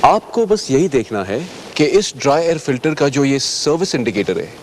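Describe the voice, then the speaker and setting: Man presenting instructions in a lively pitch. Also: moderate pace, slight background noise. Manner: courteous, instructive, addressing viewers directly. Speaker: presenter as truck service advisor. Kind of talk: scripted training-film presentation.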